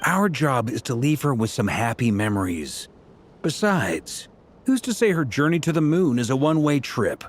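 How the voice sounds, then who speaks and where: An actor playing an easygoing, confident grand master knight in a video game, with a breezy colloquial manner. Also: male voice, mid-range voice, big pitch swings, normal speed. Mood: reassuring. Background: music.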